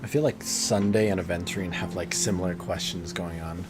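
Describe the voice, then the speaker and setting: A man's voice: low-pitched, fairly even intonation, brisk. A man giving casual, slangy live reactions as a game streamer. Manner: informal, slangy. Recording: loud game music behind.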